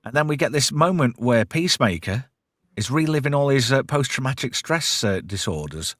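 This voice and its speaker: A British man hosts a casual pop culture chat in a slangy, irreverent way. His pitch swings widely as he talks, and he swears freely. The sound is close and dry. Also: expressive, moderate pace, fairly bright tone, no room sound. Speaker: host of a pop culture review show.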